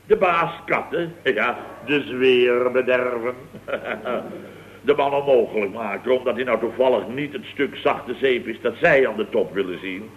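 A man's voice: medium pitch, a little slow, a little hiss or hum, close to an everyday voice. A man acting an exasperated, sarcastic company boss in an old radio comedy, grumbling emphatically. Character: blustering, exasperated, sarcastic male boss of a building firm. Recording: dull old radio recording.

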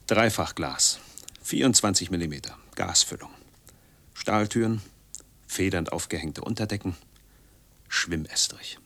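A man's voice: low-pitched, measured pace, long pauses, a bit bright, full character voice. An actor playing an embittered, reclusive man in his forties in a radio drama monologue, speaking in brooding, clipped fragments that sink into murmurs. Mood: emphatic. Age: 40s.

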